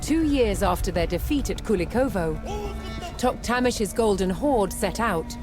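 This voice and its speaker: A woman reading scripted historical narration in a measured, dramatic way, as for a documentary. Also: medium pitch, expressive. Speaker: documentary-style narrator of game cutscenes. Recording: music behind the voice. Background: background music.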